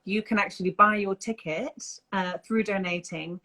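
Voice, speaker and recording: A woman singer talking as an interview guest in a thoughtful, relaxed way. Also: medium pitch, moderate pace. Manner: thoughtful, relaxed, with frequent fillers. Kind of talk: casual conversational interview.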